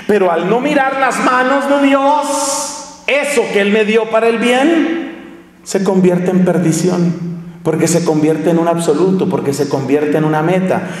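Slow, echoey preaching man in church. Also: sits high. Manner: slow, emphatic preaching with dramatic pauses. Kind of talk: sermon. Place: large church hall at a lectern. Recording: echoey church hall, distant mic.

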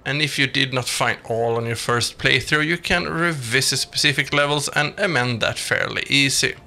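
A man's voice: medium pitch, normal speed, sharp tone. A man reading a scripted video game review as a voice-over. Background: background music.

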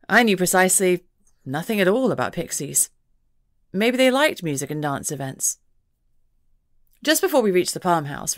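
A woman with a fairly low voice reads first-person fantasy fiction as an audiobook narrator. The recording is very dry, with no room around her. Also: dry recording.

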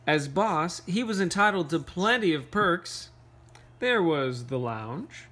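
A man reading a picture book aloud to children, playfully, pausing for casual questions and asides. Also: a little high, lively intonation, a bit bright. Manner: playful, pauses to ask questions. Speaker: read-aloud storyteller.

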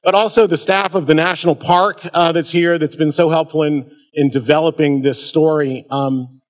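Male history lecturer, middle-aged, American accent, voice a little higher than average, addressing a conference audience. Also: steady tone, moderate pace. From American Midwest. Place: auditorium at a museum, at a podium.

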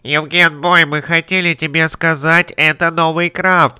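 A man playing a villager in a block-building game, announcing things flatly. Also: fairly high pitch, a little slow, clear, resonant tone, no room sound, clean studio sound.

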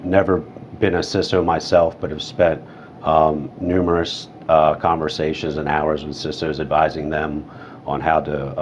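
A man in his thirties explaining points calmly as a panelist. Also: rumbling low. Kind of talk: panel discussion. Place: hotel room studio set. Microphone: lapel. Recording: dull sound, faint room hiss.

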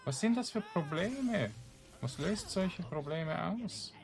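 A man giving casual gameplay commentary, musing aloud to himself in short, halting remarks. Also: high-pitched, animated, soft background music. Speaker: gamer, let's play host.

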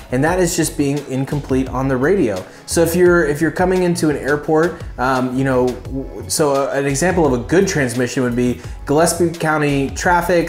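A man in his thirties with a medium-pitched voice talks straight to camera as an instructor. He is conversational and emphatic, explaining things informally. The lapel-miked voice carries noticeable room echo, with faint music behind it. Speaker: flight instructor and video host. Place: small furnished living room indoors. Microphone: lapel mic.

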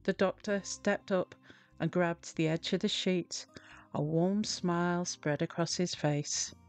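Slow-paced woman reading a children's story. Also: lowish voice, soft background music. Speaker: storybook reader. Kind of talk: animated children's storybook narration.

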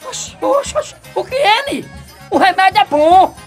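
An actor playing a cheeky old market hawker in a radio soap opera, with a Northeastern Brazilian accent and loud, exclamatory patter. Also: male voice, extremely high pitch, very animated, moderate pace, soft background music. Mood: panicked protest. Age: older.

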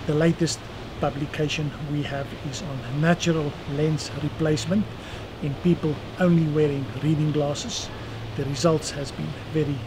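Man, 60s, South African accent, fairly high voice, noisy outdoor terrace interview. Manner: measured, dry self-deprecating humor. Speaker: eye surgeon guest. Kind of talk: seated interview answers. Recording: outdoor noise behind voice.

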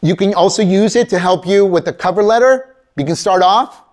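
A man's voice: sits high, brisk. A middle-aged man giving a motivational career keynote in a college lecture hall, talking straight to the audience as "folks," recorded from a camera out in the audience. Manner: animated, addresses audience as folks, acts out quoted voices. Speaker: keynote speaker. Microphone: far camera in audience.

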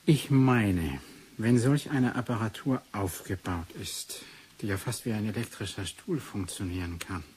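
An actor playing a pensive doctor looking into a suspicious death in a radio drama, speaking deliberately with weighty pauses. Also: male voice, on the low side, darkish tone, close to an everyday voice. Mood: pondering. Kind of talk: 1980s German radio drama acting. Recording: hissy 1980s radio play recording.

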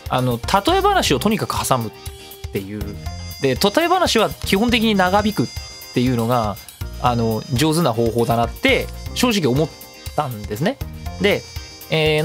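A man hosting a casual solo web radio show in speech, chatty and given to acting out imagined remarks. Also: medium pitch. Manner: talkative, acts out imagined remarks mid-talk.

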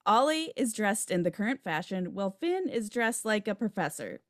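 A woman's voice: mid-range voice, sing-song, normal speed, no echo, studio quality. A woman reading stage directions as scripted narration for a table read. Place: home room, video call.

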